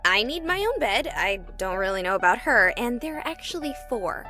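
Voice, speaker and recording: A woman playing a nosy, excitable young streamer in a visual novel, with a casual, sarcastic way of talking. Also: on the high side, moderate pace, faint music behind.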